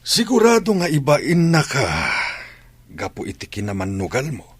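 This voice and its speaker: A man's voice: fairly high pitch, wildly swooping pitch, normal speed, husky, dry recording, heavily acted. A man playing a stern but forgiving family man in a radio drama, earnest and admonishing, with a Filipino accent. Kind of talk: Ilocano radio drama acting.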